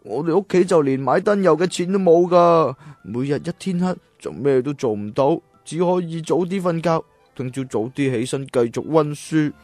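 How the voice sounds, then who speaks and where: Children's radio play: humble, earnest young male scholar played by an actor, courteous, easily puzzled, medium pitch, dry sound. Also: moderate pace, darkish tone, no room sound, soft background music, close to an everyday voice. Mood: polite, curious. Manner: earnest, polite, slightly bewildered. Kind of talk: children's radio drama acting.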